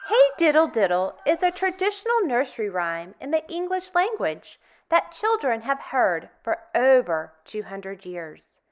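A woman reads aloud to young children in a high voice. Her pitch swings widely in a sing-song, exaggerated way. She goes slowly and enunciates each phrase for preschool listeners. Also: very animated. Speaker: storytime host for young children.

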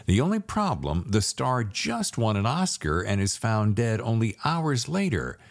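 Middle-aged American male podcast host, low voice with lively swings in pitch, presenter delivery. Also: a little slow, vocal fry. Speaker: podcast host and thriller author. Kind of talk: upbeat scripted podcast narration and interview hosting.

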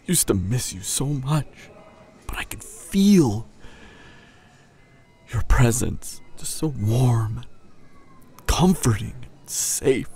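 A man playing a tender, grieving young hunter boyfriend in an intimate, emotional audio drama, halting and husky, often dropping toward a whisper. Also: medium pitch, a little mellow, heavily acted. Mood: grieving, scared. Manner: halting, emotional, long pauses. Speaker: audio roleplay voice actor.

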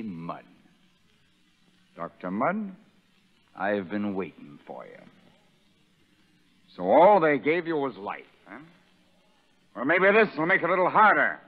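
Sadistic prison sergeant, taunting, barking, widely swinging pitch, 1930s radio drama, narrow reverberant recording. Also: male voice. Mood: cold menace. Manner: menacing, taunting, barking orders. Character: sadistic, vengeful male prison sergeant bullying new convicts.

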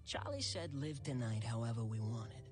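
A man voicing a cheeky, crude, flirtatious demon in an animated comedy, flippant and teasing. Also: medium pitch, normal speed, close to an everyday voice. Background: music.

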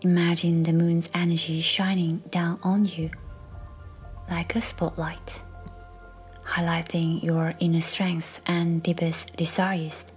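Woman, meditation guide, low-pitched, even tone, soft breathy near-whisper, soothing, scripted narration. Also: slow pace. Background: music.

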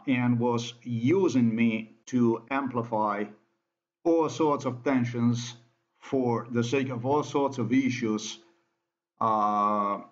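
A man with a Slovenian accent talks over a screen recording in English, his second language. He speaks slowly, halting and restarting often with plenty of fillers. The sound is dull and lo-fi. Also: medium pitch.

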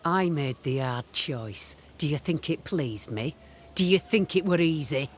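Hard, low-voiced, defiant elder sister. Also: female voice, animated, measured pace, a little hiss or hum. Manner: stern, defiant, archaic rustic phrasing. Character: hard, unrepentant elder sister, ruthless settlement leader. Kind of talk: dramatic video game acting.

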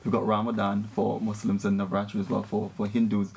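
Gravelly flat-voiced young male host. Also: fairly low pitch, brisk. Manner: steady backchannels, gravelly and flat. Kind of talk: moderating a panel. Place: TV studio.

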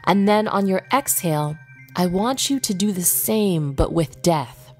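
A woman leading a guided meditation in an unhurried way, pausing between short phrases. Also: fairly low pitch, lively intonation, darkish tone, soft background music.

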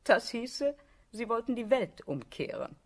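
An actress playing a mature aristocratic countess in a period radio drama, speaking in a measured, formal, condescending way. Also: female voice, fairly high pitch, very animated, full character voice. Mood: pitying, pressing.

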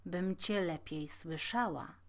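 A woman voicing a hungry wolf that pretends to be a grandmother, falsely gentle, in a storybook. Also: fairly low pitch, slow pace. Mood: menacing sweetness. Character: wolf disguised as a grandmother, falsely sweet predator.

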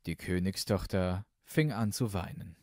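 A man's voice: low voice, a little slow, darkish tone, dry recording, studio quality. A man reading a fairy tale as a scripted audiobook narrator.

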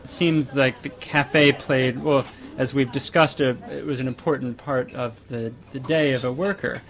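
A man's voice: medium pitch, steady tone, normal speed. A man hosting a podcast, asking questions with some hesitant fillers. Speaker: podcast host interviewer. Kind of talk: interview questions.

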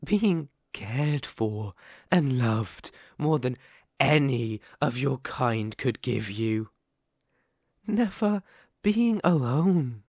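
Man playing a slow, menacing, possessive werewolf. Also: mid-range voice, animated, a little mellow, dry recording, clean studio sound, exaggerated voice. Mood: smug, controlling. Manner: slow, deliberate, condescending, threatening. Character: sadistic possessive male werewolf captor, menacing and coaxing. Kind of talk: ASMR roleplay.

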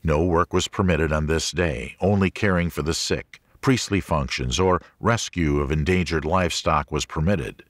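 Male narrator for religious audiobook: low register, measured and articulate reading, dry studio-like sound. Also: no room sound. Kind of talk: scripted audiobook narration of a religious teaching book.

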